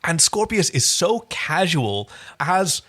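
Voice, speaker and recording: A man's voice: on the high side, big pitch swings, slow, bright, forceful tone. A man co-hosting a podcast, recapping a TV episode in enthusiastic, casual chat. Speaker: podcast co-host.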